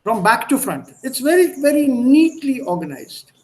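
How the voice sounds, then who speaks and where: Male language tutor, Indian accent, high-pitched voice, explains didactically and demonstrates by repetition. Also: sing-song, moderate pace, darkish tone. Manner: didactic, repeats and demonstrates sounds. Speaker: language teacher. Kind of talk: online language lesson.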